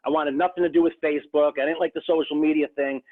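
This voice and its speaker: A real estate agent from the Rochester, New York area, talking earnestly in a casual interview, with many restarts. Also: male voice, a little high, steady tone, quick pace. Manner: earnest, frequent restarts and fillers. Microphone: phone. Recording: tinny phone line.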